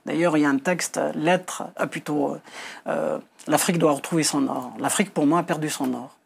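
A man's voice: a little high, fairly even intonation, normal speed, creaky, slight background noise. A middle-aged man from Algeria, a Kabyle speaker, explaining his views as an author in a TV interview, hesitantly repeating words and stressing key points. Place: indoor television studio.

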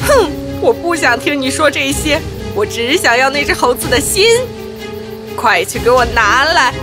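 A sly, selfish cartoon crocodile wife, played by a woman, talks in a fairly high, swooping voice. She is coaxing and insistent in a dramatic fairy-tale manner, over music. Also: animated, moderate pace. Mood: angry, demanding. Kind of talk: children's animated fairy-tale acting.

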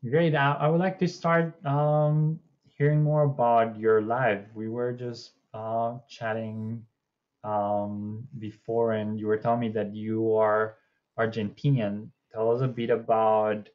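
A young man hosting an interview over a video call, asking friendly, slightly halting questions. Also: fairly low pitch, little pitch movement, dull audio. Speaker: podcast host. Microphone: video call webcam.

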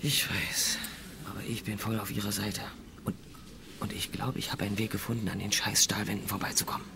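An actor playing a furtive, slangy male passenger who talks in a hushed, halting mumble in a German radio crime drama. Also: on the low side, level tone, gravelly, noisy background. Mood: furtive, hesitant.

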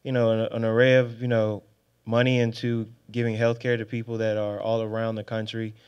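A young man in his twenties speaks on a panel in a steady, easygoing way, his voice smooth and resonant but quite flat in tone. The sound comes through a little dull. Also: fairly low pitch, brisk. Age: 20s. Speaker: panelist, hospital IT fellow. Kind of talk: panel introduction to students. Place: stage at a large event space. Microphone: handheld microphone.

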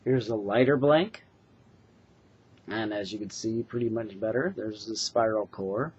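A man in his forties from the United States gives an earnest, halting product demonstration as a craftsman, recorded indoors on a webcam mic. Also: on the low side, slight background noise. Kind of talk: informal product demonstration to camera. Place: small bedroom, indoors. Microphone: webcam or laptop mic at desk distance. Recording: dull, lo-fi webcam sound.

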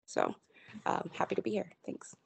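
A woman from Vermont with a very low, gravelly voice introduces herself softly, with hesitant pauses. The sound is close and dry. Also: huge pitch swings, no room sound. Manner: soft, hesitant. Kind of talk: casual introduction at a meeting.

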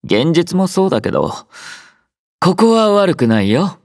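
Gentle young android boy soldier for a game, lightish pitch, takes his time, drowsy n a bit teasing. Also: big pitch swings, unhurried, no echo, clean studio sound, full character voice. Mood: calm, caring. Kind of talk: Japanese game character voice acting.

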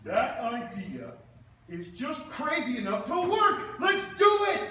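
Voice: medium pitch, huge pitch swings, slow pace, noisy background. A performer acting an earnest male puppet sidekick in an amateur church puppet show. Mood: excited. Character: earnest male friend of a paralysed man, Kevin, puppet. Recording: echoey church hall, distant voice.

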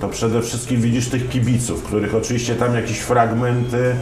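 A man's voice: medium pitch, flat delivery, normal speed, noisy background. A man in his fifties, a sports commentator and interview guest, telling stories at an easy pace with frequent fillers and restarts, on a lapel mic. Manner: storytelling, many fillers and restarts. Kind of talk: relaxed conversational interview. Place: dim room lined with wine shelves, indoors. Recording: roomy sound with music behind.

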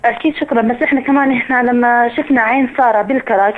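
A Jordanian woman in her twenties calls in to a live show over a phone line. She talks very fast, with a slightly high voice. She rambles earnestly, filling pauses with fillers. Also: fairly even intonation, slight background noise. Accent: Jordanian Arabic. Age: 20s. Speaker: caller. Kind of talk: phone-in call.

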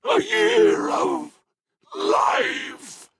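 An actor playing a dying, bitter old tycoon in a video game, crying out weakly in halting, broken phrases. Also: male voice, very high-pitched, wildly swooping pitch, vocal fry, a bit bright, full character voice. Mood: anguished, bitter.

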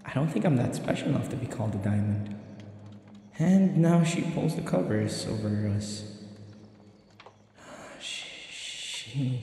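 Guy doing a shy submissive servant boy for audio roleplay, halting n sighing, slow and creaky, super reverby. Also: medium pitch, dark tone. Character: timid, submissive young male servant of a vampire mistress. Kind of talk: intimate boyfriend audio roleplay.

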